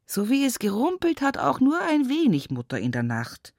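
A woman's voice: high voice, huge pitch swings, normal speed, creaky, darkish tone, no echo, studio quality, heavily acted. An actress playing a rural Bavarian woman in an intimate radio play monologue, musing plaintively and colloquially about life. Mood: haunted, urgent. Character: rural Upper Palatinate woman, humorous, brooding, recalling her life. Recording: music behind the voice.

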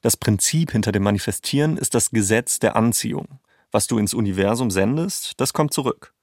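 A man's voice: lowish voice, animated, brisk, darkish tone, no echo, clean studio sound. A man hosting and narrating a documentary podcast from a script.

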